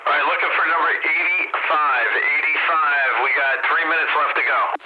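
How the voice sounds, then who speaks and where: A fairly high-voiced man acts as net controller on CB radio, mixing loose banter with repeated calls for check-ins. The audio is dull, with a light hiss behind him. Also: steady tone, moderate pace. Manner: informal, repetitive calling out for check-ins.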